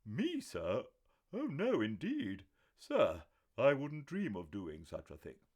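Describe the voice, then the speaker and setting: A man's voice: mid-range voice, wildly swooping pitch, takes it slowly, a little mellow, pitched above the performer's own voice, heavily acted. A man voicing a stiff, proper older butler who speaks with formal, deferential politeness. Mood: startled.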